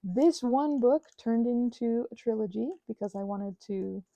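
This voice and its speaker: A woman chatting casually in a rambling, digressive way to her audience, animated and poking fun at herself. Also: on the high side, unhurried, vocal fry, dry recording, highs cut off. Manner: animated, self-mocking, digressive. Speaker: hobbyist creator talking to her audience. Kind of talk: casual rambling chat, ASMR channel.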